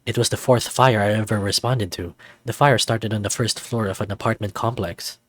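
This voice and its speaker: Man playing a husky, sincere young firefighter. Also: lowish voice, steady tone, normal speed, no room sound, close to an everyday voice. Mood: somber, reflective. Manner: earnest, gentle, reflective. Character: caring young male firefighter, steady and sincere. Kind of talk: visual novel character voice.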